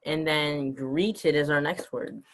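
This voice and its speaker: A man's voice: on the high side, fairly even intonation, takes it slowly, low-quality recording. A man co-hosting a casual lesson, reading out words and chatting. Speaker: co-host reading vocabulary words.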